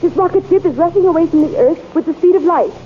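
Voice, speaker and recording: Scared young heroine, high anxious voice, 1930s radio drama, lo-fi recording. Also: female voice, fast. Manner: anxious, breathless. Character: frightened young heroine, companion of the hero. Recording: dull hissy old radio recording.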